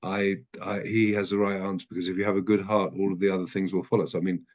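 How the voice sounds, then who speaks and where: A man teaching an adult text study class, thinking out loud with many restarts and self-corrections. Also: sits low, level tone, fast talker.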